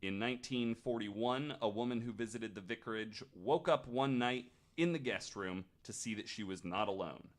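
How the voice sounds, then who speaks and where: A man's voice: lowish voice, normal speed, hardly any pauses. A man retelling ghost stories as a podcast host, in a casual, joking way.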